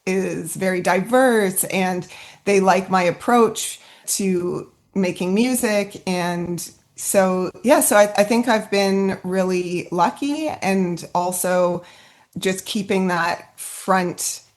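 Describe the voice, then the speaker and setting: A woman's voice: medium pitch, slow. A woman musician answering a panel question in an earnest, casual way, often saying "you know" and "like".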